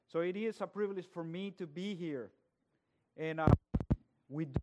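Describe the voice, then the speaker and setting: A man from El Salvador, preaching a sermon as a guest preacher and building from quiet words to loud emphasis. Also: medium pitch.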